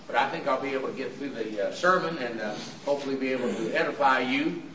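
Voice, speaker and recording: A visiting preacher, his voice hoarse and raspy from throat trouble, delivering an earnest sermon in a halting way with frequent "uh" fillers. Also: medium pitch, highs cut off, crowd noise. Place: church auditorium. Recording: echoey hall from far off with room noise.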